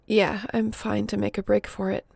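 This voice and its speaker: A woman's voice: medium pitch, level tone, moderate pace, vocal fry, a little mellow, husky, dry recording. A woman playing a resilient young apocalypse survivor in an audio roleplay, speaking intimately and earnestly with a wry edge. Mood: determined, planning. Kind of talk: dramatic audio roleplay addressed to the listener.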